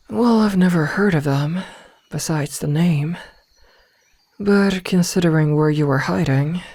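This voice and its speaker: A woman playing a possessive werewolf from a pack, smitten yet threatening, with deliberate, partly whispered delivery in an ASMR roleplay. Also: sits low, mellow, husky, no echo, heavily acted. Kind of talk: ASMR roleplay audio drama. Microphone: close, dry microphone.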